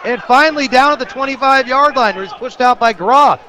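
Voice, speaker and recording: Guy doing radio football play-by-play, really high bright voice, excitable. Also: brisk. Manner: excitable, rising to shouts on big plays. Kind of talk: live football play-by-play commentary. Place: outdoor football stadium broadcast.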